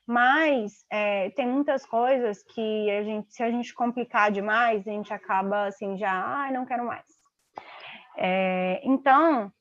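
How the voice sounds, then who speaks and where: A young woman with a Brazilian accent teaches a live class over a video call. Her voice sits a bit high and she talks in a relaxed, chatty way, leaning on fillers as she explains. The audio is dull and lo-fi, with a dry, close sound. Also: no echo. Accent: Brazilian Portuguese. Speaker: online tutor. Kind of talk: live online lesson.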